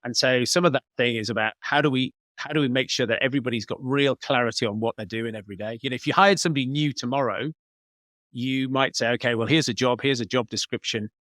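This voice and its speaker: A man's voice: medium pitch, fast talker, a bit bright, no room sound. Man explaining in a dry-sounding interview. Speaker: business coach, podcast guest. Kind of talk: conversational interview answers, explanatory.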